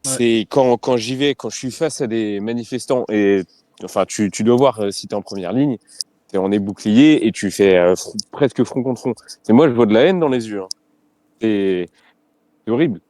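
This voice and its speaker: A riot police officer debating, his voice low and warm in tone. He talks frankly but haltingly, circling back over his own phrases. The sound is dry and close. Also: no room sound. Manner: halting, frank, repeats phrases while searching for words.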